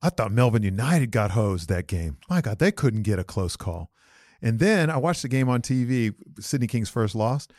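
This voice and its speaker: A man co-hosting a sports podcast, talking in a loose, slangy and opinionated way. His pitch sits in the middle, and the sound is dry and close with no room around it. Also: very animated, normal speed, a little mellow, dry recording. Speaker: podcast co-host. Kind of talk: casual sports chat.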